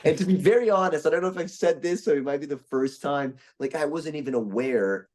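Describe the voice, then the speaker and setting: A man's voice: a little high, brisk, darkish tone. A young man from Brazil, chatting as a guest voice actor in a casual interview, often restarting his sentences. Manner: rambling, with many restarts and fillers.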